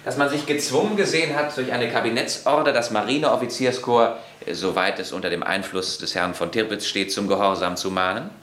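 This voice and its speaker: In a roomy, echoing interior, a man plays a confident young staff officer in a radio drama. His voice is low, and he speaks with clipped authority and guarded emphasis. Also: fairly even intonation, a little fast, few pauses, fairly bright tone, natural voice. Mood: urgent, confiding. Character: grown son, confident German staff officer, guarded and forthright. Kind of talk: radio play dialogue. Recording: roomy, reverberant studio interior.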